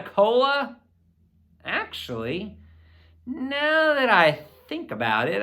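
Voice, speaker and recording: A middle-aged man with a bright, forceful voice addresses young listeners like an eager principal at story time. His pitch moves freely and expressively. He stresses key words and keeps an upbeat, encouraging delivery. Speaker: school principal, read-aloud host. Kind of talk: enthusiastic address and children's book read-aloud.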